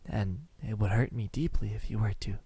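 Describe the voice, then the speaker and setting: A man voicing a possessive, tender, gentlemanly centuries-old vampire in an ASMR roleplay, speaking softly and intimately with sighs and pauses. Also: lowish voice, steady tone, husky. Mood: possessive, longing.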